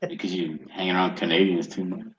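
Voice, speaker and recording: A man hosting a casual livestream while drawing fan art, joking and bantering, his voice slightly raspy from a cold. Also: sits low, flat delivery, fast, dull audio. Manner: joking, mock-offended banter.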